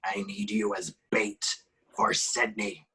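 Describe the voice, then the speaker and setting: A man's voice: fairly low pitch, monotone, takes it slowly, pushed and bright, breathy edge, exaggerated voice. A man voicing a menacing, taunting masked slasher killer at a horror script reading.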